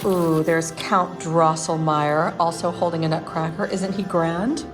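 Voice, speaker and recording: Low-pitched woman vlogging over music. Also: fairly even intonation, a little slow. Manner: enthusiastic, gushing. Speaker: vlog host. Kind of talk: chatty home decorating vlog narration. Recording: music playing behind the voice.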